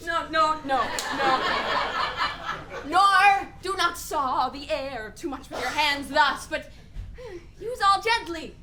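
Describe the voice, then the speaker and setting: Very high-voiced, bitter young prince played by a woman. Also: lively intonation, slow pace, very noisy. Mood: instructive, exacting. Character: Hamlet, bitter, mocking, volatile young prince of Denmark. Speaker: actor playing Hamlet. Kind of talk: Shakespearean radio play acting.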